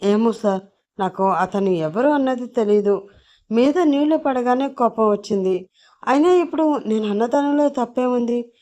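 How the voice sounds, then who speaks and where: A woman's voice: on the low side, animated, normal speed, a little mellow, lo-fi sound, lightly acted. A woman voicing a stubborn young office assistant who argues back indignantly. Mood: defiant. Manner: indignant, argumentative.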